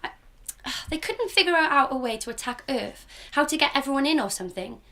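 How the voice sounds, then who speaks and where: A woman voicing a loud, excited little girl in a student radio drama. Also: high-pitched, fairly quick, full character voice. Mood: eager. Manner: eager, breathless, giggly.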